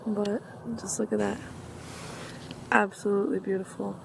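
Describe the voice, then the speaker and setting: A young woman, a university student, chatting casually in a vlog with a slightly hoarse voice, upbeat and rambling, filled with ums. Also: medium pitch, little pitch movement, moderate pace, a little hiss or hum, low-quality recording. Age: young adult. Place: outdoors on a campus, then an indoor study corner.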